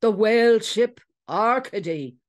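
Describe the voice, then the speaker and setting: A woman's voice: a little high, lively intonation, takes it slowly, pitched above the performer's own voice. A woman voicing an elderly male detective, emphatic and declamatory, in a dramatic storybook reading. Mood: startled, grave. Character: elderly Pinkerton detective man, startled and emphatic.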